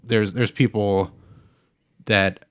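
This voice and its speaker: A man hosting a podcast in casual, rambling conversation, telling things in a halting, informal way. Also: fairly low pitch, steady tone. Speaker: podcast host. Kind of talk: casual chat, rambling storytelling.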